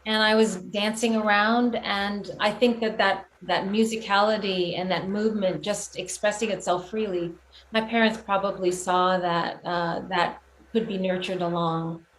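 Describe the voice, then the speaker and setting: Woman, American accent, middle-aged, medium pitch, reflective guest answers, frequent pauses, faint noise behind. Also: fairly even intonation, rough recording. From San Francisco, United States. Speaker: guest, performing and teaching artist.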